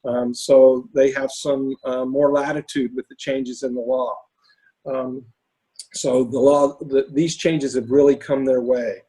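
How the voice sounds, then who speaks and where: A hoarse man with a raspy voice talking through case studies on a video call, halting with many fillers and restarts. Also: medium pitch, flat delivery, warm tone, low-quality recording. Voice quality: raspy, lost voice. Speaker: panelist presenting case studies. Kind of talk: webinar talk.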